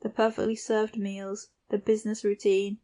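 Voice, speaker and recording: A female audiobook narrator reads literary fiction at a middle pitch. Her intonation stays even and restrained from phrase to phrase. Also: moderate pace.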